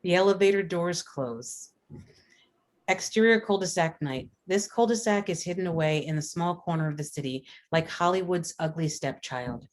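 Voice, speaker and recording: Low-pitched woman reading screenplay stage directions. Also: moderate pace. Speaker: stage directions reader at a script table read. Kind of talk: scripted narration of screenplay action.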